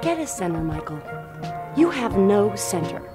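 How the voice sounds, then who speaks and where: An actress playing a fed-up, scornful estranged American wife in a video game cutscene, cutting and accusatory. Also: female voice, medium pitch, big pitch swings, moderate pace, over music, lightly acted. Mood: accusing.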